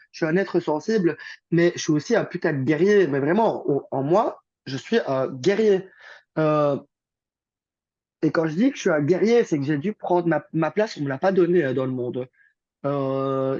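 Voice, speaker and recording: Young man, high voice, rambling guest, muffled lo-fi sound. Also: moderate pace, a little mellow, no room sound. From Belgium. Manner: rambling with many fillers and restarts. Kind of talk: casual conversation.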